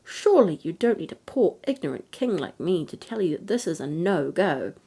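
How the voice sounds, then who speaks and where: A woman's voice: lowish voice, very animated, moderate pace, vocal fry, dark tone, husky, full character voice. A woman voicing a firm, protective storybook king with an emphatic, reasoning manner. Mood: indignant, firm. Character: firm, no-nonsense king, protective husband and father.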